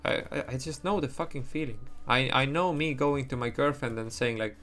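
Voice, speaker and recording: A man's voice: medium pitch, fairly quick, fairly bright tone. A young man whose first language is Estonian, talking casually on a livestream, rambling, swearing and restarting his sentences. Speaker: livestream trader.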